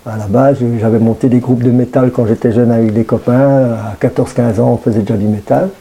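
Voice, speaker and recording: A man's voice: medium pitch, steady tone, fairly quick, mellow. A man around sixty with a Brussels Belgian French accent, a radio guest talking casually with many hesitations and fillers.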